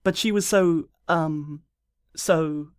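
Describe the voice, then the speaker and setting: A timid, kind young female pony from a comedic storybook, as a man puts her on. She speaks softly and hesitantly, stammering over her words in a slightly raised, altered voice. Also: on the high side, slow pace, clear, resonant tone, no echo, clean studio sound. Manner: hesitant, soft-spoken, stammering.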